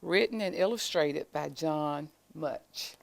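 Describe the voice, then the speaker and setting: A woman reading a children's storybook aloud in a deliberate, expressive storytelling manner. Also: low-pitched, gravelly. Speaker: reader, school staff member.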